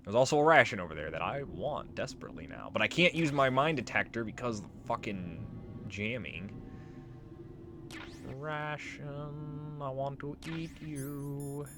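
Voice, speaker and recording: A man hosting a let's play with casual, wry, sweary commentary. His mid-pitched voice moves around a lot, with music clearly playing behind it. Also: expressive, a little slow. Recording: voice over game music. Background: game music.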